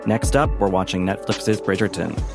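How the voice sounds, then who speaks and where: A man's voice: lowish voice, moderate pace, over music. A man who hosts a podcast, reading a scripted trailer for the show. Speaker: podcast host.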